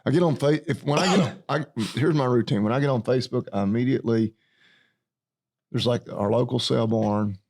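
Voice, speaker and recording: A man's voice: medium pitch, fairly quick, gravelly, a little mellow. A man and farmer co-hosting a casual podcast, easygoing and rambling as he tells stories. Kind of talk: casual chat.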